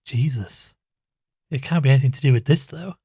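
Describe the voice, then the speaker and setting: An anxious amateur investigator in a horror audio drama played by a male actor, earnest and hesitant. His voice is husky and recorded dry and close, with a worried edge. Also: medium pitch, brisk, mellow, dry recording. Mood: uneasy, questioning. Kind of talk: audio drama dialogue and first-person narration.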